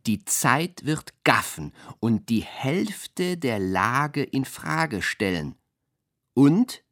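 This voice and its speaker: Poetic radio drama reciter, slow, deliberate fragmented phrasing, melancholy, dry studio sound. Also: male voice, medium pitch, very animated, a bit bright, no echo, full character voice. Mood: measured, incantatory. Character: man reciting surreal, dreamlike, melancholy poetry.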